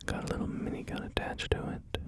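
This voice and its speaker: A man whispering through a relaxed ASMR gaming video, rambling and joking about himself with many fillers. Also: fairly quick. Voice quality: soft unvoiced whisper. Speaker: ASMR creator.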